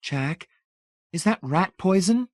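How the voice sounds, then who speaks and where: A man's voice: high-pitched, lively intonation, slow pace, dry recording, studio quality, pitched above the performer's own voice. A man voicing an anxious, devoted young woman who pleads earnestly in a raised voice. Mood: suspicious.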